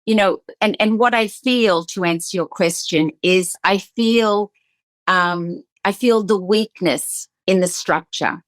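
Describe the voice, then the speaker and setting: A woman's voice: mid-range voice, slow, no echo. A woman esthetician hosting a podcast, talking with emphatic passion and doubling up words as she presses her points. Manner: emphatic, fired-up, repeats words when stressing a point.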